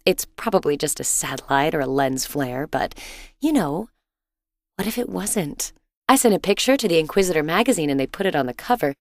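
An actress playing a loving, teasing suburban wife and mother in a video game, expressive and gently exasperated. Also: low-pitched, a little fast, dry recording, clean studio sound. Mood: fond, chiding. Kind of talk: cartoonish game dialogue, affectionate chiding.

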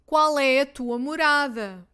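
A woman teacher with a European Portuguese accent, reading simple phrases twice each, clearly and deliberately, for learners. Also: sits high, pushed and bright. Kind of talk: slow repeated phrase drills for learners.

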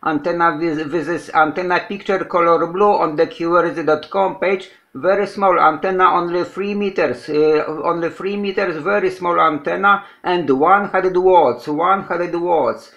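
A man's voice: high voice, moderate pace, gravelly, few pauses, a bit bright, lo-fi sound. A man from Poland with a Polish accent, an amateur radio operator giving call signs and signal reports in simple English, repeating short phrases emphatically.

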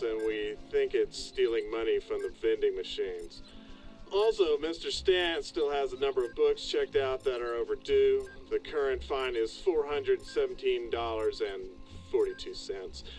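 Fussy, swooping library official. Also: male voice, mid-range voice, very animated, unhurried, darkish tone, soft background music, rough recording. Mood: exasperated, pleading. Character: exasperated male public library official, fussy and formal. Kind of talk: scripted game phone message.

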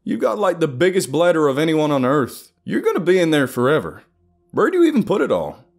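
A man's voice: fairly high pitch, huge pitch swings, brisk, gravelly, voice pushed up, heavily acted. A man voicing an agitated, sarcastic friend in a story, griping in an exasperated whine. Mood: exasperated complaining.

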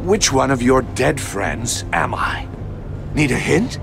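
Smug older riddle villain for a game, slow and gravelly, taunting, music behind. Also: male voice, medium pitch, expressive, full character voice. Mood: mocking cruelty. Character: gloating sadistic older male riddle-obsessed supervillain. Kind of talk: video game villain acting.

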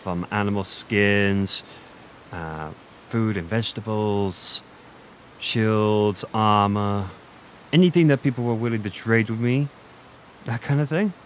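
A man plays a flirty Irish innkeeper in a fantasy roleplay audio, with a lowish voice. He is teasing and gentle, chatting intimately and poking fun at himself. Clear background ambience runs behind his voice. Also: takes it slowly, a little hiss or hum. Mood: friendly, reminiscing. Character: flirty Irish male innkeeper, gentle, self-deprecating former adventurer.

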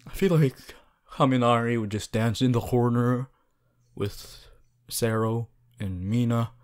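A man voicing an earnest, fussy but caring student class president in an ASMR roleplay, gently bossy and often stumbling over his words. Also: medium pitch, unhurried, clean tone, lightly acted. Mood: gently nagging. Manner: hesitant, repeating himself, gently bossy. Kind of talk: ASMR audio roleplay addressed to the listener.

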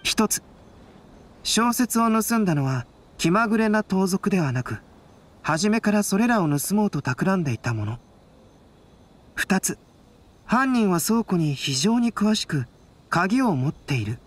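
A woman voicing a calm, analytical young man in an anime game, composed and measured. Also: mid-range voice. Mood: calm reasoning. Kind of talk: Japanese anime game voice acting. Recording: background music behind voice.

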